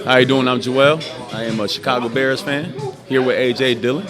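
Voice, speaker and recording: A man's voice: mid-range voice, steady tone, a little fast. A man around forty from Puerto Rico, a bar patron talking football in a boisterous, insistent way. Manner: boisterous, insistent, repeats phrases. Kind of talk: casual bar chat. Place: crowded dive bar. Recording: loud bar with music and crowd.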